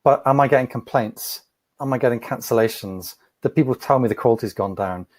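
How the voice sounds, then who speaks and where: Measured, thoughtful man, dry sound. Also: medium pitch, darkish tone, dry recording. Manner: thoughtful, measured, repeats words as he starts. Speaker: podcast guest, entrepreneur. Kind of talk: interview answers.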